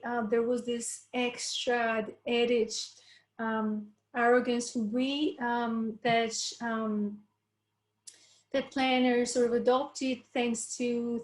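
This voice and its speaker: A woman from Albania in her thirties, giving a relaxed academic talk on a video call, with many ums and uhs. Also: on the high side. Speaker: panel speaker, urban researcher. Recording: echoey room sound.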